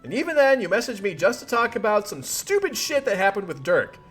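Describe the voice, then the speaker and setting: Furious, high-pitched young woman played by a man. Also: very animated, fairly quick, slightly rough, heavily acted. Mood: bitter scolding. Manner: shouting, biting, emphatic. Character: furious, exasperated young woman, sick of her friend.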